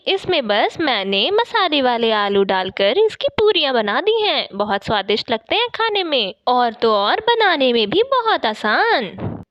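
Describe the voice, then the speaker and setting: Woman as a kind, high-voiced sisterly servant. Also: very animated, measured pace, dry recording, lo-fi sound. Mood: friendly. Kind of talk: Hindi moral-story cartoon acting.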